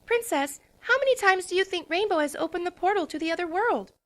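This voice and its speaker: A woman's voice: very high voice, expressive, quick pace. A woman voicing an earnest, studious young female pony, speaking with a slightly scolding tone. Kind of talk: fan dramatic reading.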